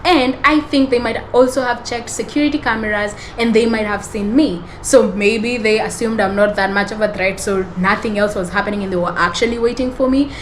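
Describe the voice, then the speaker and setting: Podcast host, woman, slightly high voice, loose rambling storyteller who laughs a lot. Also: fairly quick, few pauses, slight background noise. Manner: rambling, self-correcting storytelling with frequent laughter. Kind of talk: storytelling monologue.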